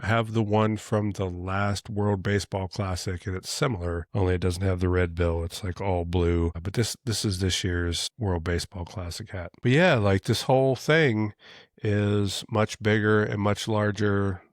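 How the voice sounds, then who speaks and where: A man's voice: lowish voice, fairly even intonation, normal speed, no room sound, studio quality. A man hosting a casual, earnest monologue show, talking in a conversational way with frequent restarts. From United States. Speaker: podcast host.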